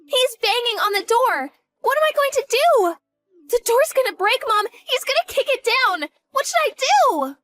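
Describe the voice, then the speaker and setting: A woman's voice: very high-pitched, animated, moderate pace, a bit bright, soft background music. A woman voicing a devoted college-age daughter who talks earnestly and grows panicked in a dramatized story.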